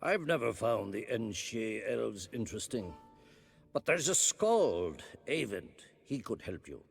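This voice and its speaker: An actor playing a grumpy, sardonic old druid in a video game cutscene, speaking in archaic, wry, disdainful phrases. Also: male voice, fairly low pitch, sing-song, takes it slowly, creaky, breathy edge, faint music behind, heavily acted.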